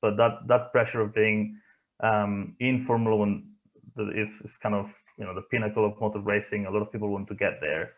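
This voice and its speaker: Low-voiced man with a Spanish accent. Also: steady tone, narrow, tinny audio. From Barcelona area, Spain. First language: not English, from Spain. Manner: hesitant, thoughtful, pausing often. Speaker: interview guest, motorsport engineer. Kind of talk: reflective interview answers.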